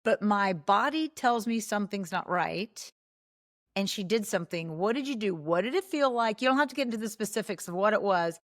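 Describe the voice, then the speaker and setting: A woman's voice: medium pitch, a little fast. A woman hosting a podcast interview over a video call, enthusiastic and prompting her guest with questions. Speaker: podcast host and coach. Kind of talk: casual interview talk.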